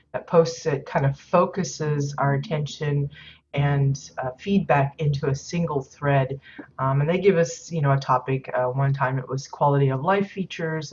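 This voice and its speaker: A woman hosting a game livestream in casual chat, a little hoarse and stuffed up, rambling with many ums and uhs. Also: low-pitched, a little hiss or hum. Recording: slightly dull lo-fi audio.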